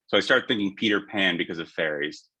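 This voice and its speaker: Bright, lowish-voiced man giving feedback. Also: quick pace, husky. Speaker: actor on a reading panel. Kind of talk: casual feedback.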